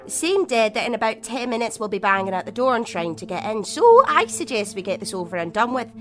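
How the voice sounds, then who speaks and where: A woman's voice: a little high, animated, fast, pushed and bright, soft background music. A woman playing a tough, blunt survivor in an audio drama, speaking with hard-edged impatience. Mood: hard, impatient. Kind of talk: acted audio drama dialogue.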